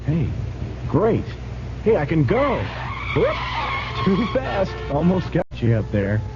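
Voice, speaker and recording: A man's voice: a little high, wildly swooping pitch, takes it slowly, over loud music, full character voice. An actor playing a harried, impatient man commuting by car in a radio skit, exclaiming and sighing to himself. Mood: anxious, relieved. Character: harried, impatient male commuter driving in traffic. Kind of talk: radio public service skit. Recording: muffled radio recording, loud car noise.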